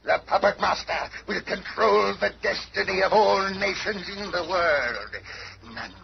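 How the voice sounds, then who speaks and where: A man's voice: sits high, unhurried, vocal fry, heavily acted. A man playing a megalomaniac villain in a radio drama, theatrical and grandiose, with an echo effect on his voice. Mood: gloating. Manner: theatrical, grandiose, swooping delivery. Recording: noisy, dull old radio broadcast.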